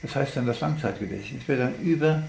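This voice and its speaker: A man explaining science off the cuff, halting and deliberate, as if thinking aloud. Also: mid-range voice, gravelly, darkish tone. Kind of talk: unscripted explanatory monologue. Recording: echoey room with background noise.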